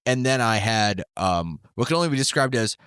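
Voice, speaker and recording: Rambling man in his thirties with a lively voice. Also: fairly low pitch, fairly quick, clear, resonant tone, dry recording, studio quality. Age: 30s. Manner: rambling, lots of fillers and restarts. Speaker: comedian guest. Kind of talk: casual chat. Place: New York City.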